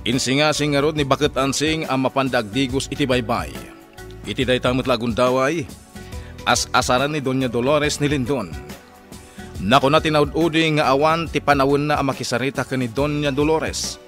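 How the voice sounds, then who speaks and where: A man's voice: mid-range voice, fairly even intonation, moderate pace, fairly bright tone. Emphatic male radio drama narrator. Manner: projected, emphatic. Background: music.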